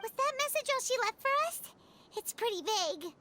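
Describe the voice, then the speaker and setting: Squeaky, excitable little fairy girl. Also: brisk, background noise. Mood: pondering. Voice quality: squeaky, childlike. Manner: expressive, refers to herself by name. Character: tiny excitable childlike fairy companion, female. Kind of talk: video game voice acting.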